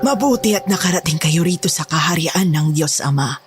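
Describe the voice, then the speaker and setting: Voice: medium pitch, expressive, a little fast, close to an everyday voice. Someone acting a pious cult healer in a horror audio story, grand and preachy, music behind. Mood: grandiose. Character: self-proclaimed healer cult leader, solemn and commanding.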